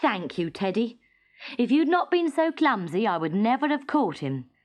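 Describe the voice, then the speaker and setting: A woman's voice: fairly high pitch, sing-song, moderate pace. A British woman voicing a nervous, fussy male china shop keeper in a children's storybook, flustered and exclaiming. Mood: grateful.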